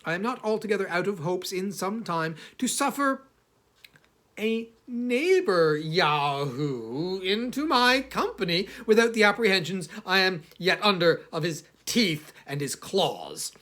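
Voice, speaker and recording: A man playing a haughty, misanthropic older traveller narrating his memoir, with halting, theatrical delivery and emphatic pauses. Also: sits high, big pitch swings, fairly bright tone, exaggerated voice. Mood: fastidious, aloof. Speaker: audiobook reader. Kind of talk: dramatic first-person literary narration.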